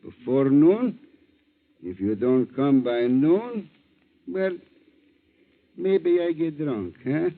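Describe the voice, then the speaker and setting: A man's voice: fairly high pitch, lively intonation, creaky, exaggerated voice. Falsely friendly fisherman blackmailer, broken English, swooping intonation, thin phone-like vintage radio sound. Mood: slyly menacing. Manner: broken English, insinuating, drawn-out threats. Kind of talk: 1940s radio acting. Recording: tinny, narrow-band 1940s radio recording.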